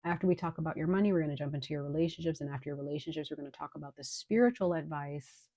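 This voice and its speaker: A woman with a low, slightly hoarse voice, under the weather with a cold, reads tarot for her viewers. She talks in an unhurried, reassuring way and fills pauses with ums. The sound is dry and close, with no room echo. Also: warm tone, lo-fi sound. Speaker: tarot reader. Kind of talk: casual tarot reading to viewers.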